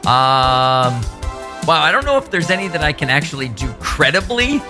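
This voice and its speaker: A man from the American Midwest hosting a casual podcast, upbeat and quick to laugh. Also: fairly high pitch, very animated, over loud music. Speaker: podcast host.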